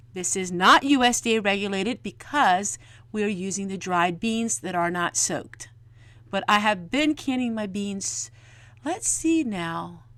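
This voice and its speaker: A woman's voice: medium pitch, fairly bright tone. A middle-aged woman giving a casual, folksy homestead how-to talk, chatty and explanatory. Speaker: home canning vlogger.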